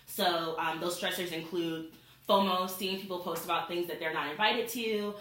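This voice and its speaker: A woman's voice: fairly low pitch, fairly even intonation, moderate pace, a bit bright. Young woman giving an echoey class speech. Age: young adult. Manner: informal, addresses classmates directly.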